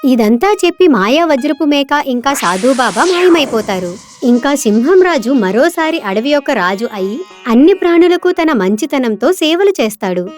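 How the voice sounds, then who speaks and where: Female storyteller, high pitch, scripted kids' tale narration, faint background music. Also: very animated, normal speed.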